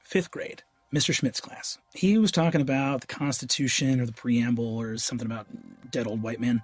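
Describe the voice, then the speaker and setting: A man's voice: medium pitch, fairly even intonation, normal speed, faint music behind, close to an everyday voice. Man as flustered, awkward teenage boy. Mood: indignant. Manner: flustered, stammering. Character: awkward, earnest teenage boy, high school tutor. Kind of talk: audio drama acting.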